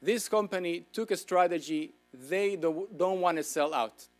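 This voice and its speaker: A man's voice: high-pitched, a little slow, faint music behind. A middle-aged man with an Israeli accent giving a keynote business lecture, with informal, humorous storytelling. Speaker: keynote speaker.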